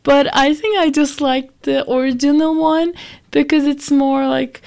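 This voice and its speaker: A young woman with a high voice that often slips into creak, still recovering from being sick. She chats casually as a student radio host, rambling along and poking fun at herself. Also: steady tone, unhurried, darkish tone. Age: young adult. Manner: rambling, self-deprecating. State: recovering from a week of sickness. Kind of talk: casual music show chat.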